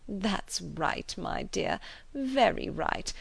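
A woman voicing a fussy, anxious, gentle old father in an audiobook, fretting mildly and deliberately. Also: mid-range voice, animated, creaky, husky, no room sound, exaggerated voice. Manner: fretful, deliberate, mild fussing. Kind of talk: audiobook character voice.

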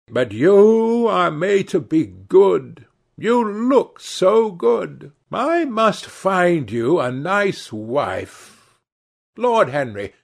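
A man's voice: sits high, vocal fry, voice pushed up, full character voice. A man voicing a fussy old society hostess. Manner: theatrical, fussy, exclamatory. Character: older woman, a chatty society hostess.

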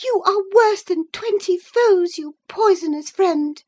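A woman's voice: extremely high pitch, measured pace, mellow, dry recording, pitched above the performer's own voice. A woman voicing an infatuated, indignant girl in a storybook reading, protesting in a passionate, plaintive way. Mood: angry, defiant.

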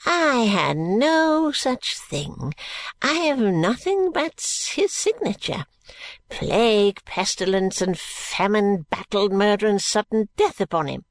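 A woman's voice: mid-range voice, very animated, takes it slowly, creaky, dry recording, exaggerated voice. A woman voicing a breathless, wheedling and spiteful old male moneylender in a storybook reading. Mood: angry, cursing. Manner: fawning endearments breaking into angry curses.

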